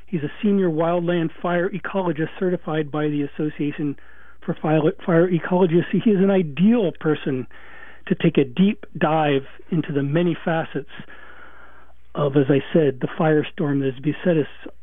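A man's voice: fairly high pitch, moderate pace, dry recording, phone-quality sound. A male radio host interviewing a guest in a conversational way, with many restarts and fillers. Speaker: radio talk show host.

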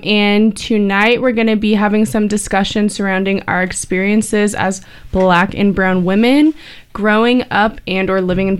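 A woman hosting a casual panel talk, chatty and relaxed, with frequent filler words. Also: mid-range voice, clear, resonant tone. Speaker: talk show host.

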